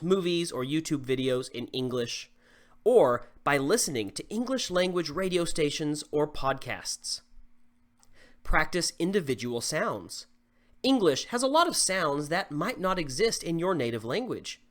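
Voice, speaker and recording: A man in his thirties from the southern US with a slight Southern accent, teaching English clearly and deliberately to camera from a small home studio. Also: a little high. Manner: clear, deliberate teaching delivery with modeled examples. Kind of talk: scripted lesson to camera.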